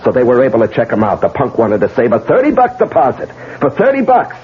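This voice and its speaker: An actor playing a bitter, vengeful husband in a 1970s radio drama, sardonic and brooding. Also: male voice, medium pitch, huge pitch swings, fast talker, slightly rough, heavily acted. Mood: accusing. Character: betrayed husband, bitter, coldly vengeful man. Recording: muffled vintage radio broadcast.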